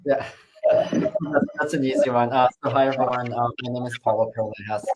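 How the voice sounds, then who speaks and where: A man from Canada talking casually and haltingly as an interview guest, through a laptop on a video call. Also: medium pitch, steady tone, muffled recording, noisy background. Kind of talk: casual self-introduction and chat.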